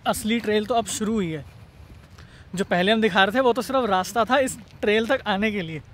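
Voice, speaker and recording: A man's voice: high voice, a little fast, fairly bright tone, a little hiss or hum. A man, chatting casually as a travel vlogger while walking a forest trail and filming himself on a handheld phone. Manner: chatty, explains as he walks. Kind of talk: casual travel vlog narration. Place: outdoors on a forest canyon trail. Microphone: handheld phone at arm's length.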